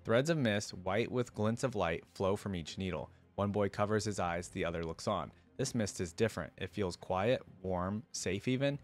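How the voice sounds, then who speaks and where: A man's voice: on the low side, normal speed, few pauses. A man hosting a reaction video, reading story text aloud and adding informal, casual commentary.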